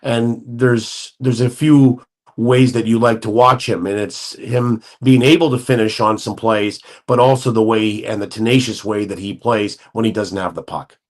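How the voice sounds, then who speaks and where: A man's voice: fairly low pitch, steady tone, normal speed. Man breaking down hockey at length. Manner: expansive, thinks aloud through scenarios. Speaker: hockey analyst guest. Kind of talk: radio sports talk analysis.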